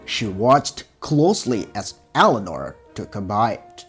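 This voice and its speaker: Casting: male fairy-tale narrator, slightly raspy, lively intonation, measured storybook delivery. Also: lowish voice. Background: music.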